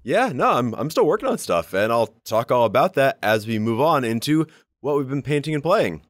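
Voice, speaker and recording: A man's voice: medium pitch, sing-song, brisk, no echo, studio quality. A man co-hosting a podcast in casual hobby chat, wry and informal, with some swearing. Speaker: podcast co-host.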